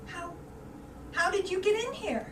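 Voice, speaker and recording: Very high-voiced, flustered housemaid played by a woman. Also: unhurried. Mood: flustered, admiring. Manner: hesitant, repeating words, earnest. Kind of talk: staged play reading. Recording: distant mic, echoey room, background noise.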